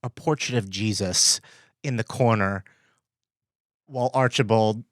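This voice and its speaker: A man's voice: fairly low pitch, unhurried, fairly bright tone, no echo, studio quality. A man hosting a podcast, chatting casually with his guests in an interview style. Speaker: podcast host.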